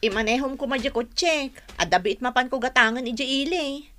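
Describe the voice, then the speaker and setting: An actress playing a pushy, shrill younger female in-law in a comedy radio drama. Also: a little fast, a bit bright, a little hiss or hum.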